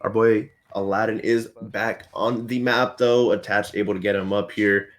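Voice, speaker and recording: A man's voice: lowish voice, fairly even intonation, normal speed. A young man casting a live esports match from home, laid-back and slangy. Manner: laid-back, slangy, says bro a lot. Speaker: esports caster.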